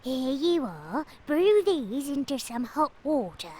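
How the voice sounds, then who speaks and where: A woman's voice: high-pitched, big pitch swings, slow, mellow, slight background noise, exaggerated voice. An actress playing a polite, businesslike female apothecary. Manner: polite, clipped shopkeeper manner.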